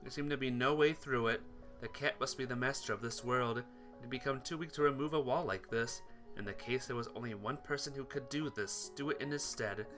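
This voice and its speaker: A man reads visual novel text aloud as he plays through the game. His voice is bright and forward, with faint music behind it. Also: medium pitch, a little fast. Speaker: playthrough narrator.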